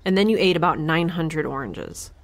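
A woman's voice: sits low, normal speed. A young woman giving a wry, chatty scripted monologue as the lead of an audio drama. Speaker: solo audio drama narrator and protagonist.

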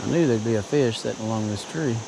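A man's voice: mid-range voice, gravelly. A man filming himself while wading a river, talking casually about his fishing and muttering to himself between casts. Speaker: fishing vlogger. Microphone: body-worn camera. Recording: outdoor camera audio with river noise. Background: rushing river water.

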